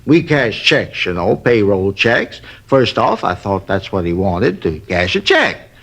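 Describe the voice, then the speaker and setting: A man's voice: lowish voice, very animated, a little slow, a bit bright, breathy edge, heavily acted. An actor playing a fussy, long-winded male robbery witness who grumbles and wanders off topic, in 1950s radio drama style. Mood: recounting. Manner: rambling, grumbling, easily sidetracked.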